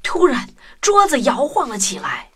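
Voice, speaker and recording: A woman's voice: high-pitched, huge pitch swings, measured pace. A woman narrating a children's story expressively. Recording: music under the voice.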